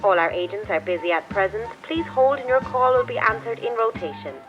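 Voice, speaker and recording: A woman's voice: on the high side, lively intonation, fairly quick, close to an everyday voice. A woman playing an automated helpline announcer, reading formal, impersonal hold messages. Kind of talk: scripted recorded phone announcement. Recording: dull phone-line sound with music.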